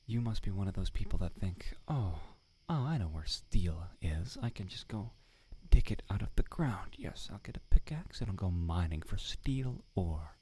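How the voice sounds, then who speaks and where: A man's voice: sits low, big pitch swings, moderate pace, hardly any pauses, dark tone, slightly rough, full character voice. A man playing a prickly, irritable village swordsmith, in whispered, sarcastic roleplay ASMR. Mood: lecturing. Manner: whispered, exasperated, sarcastic scolding. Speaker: ASMR voice actor.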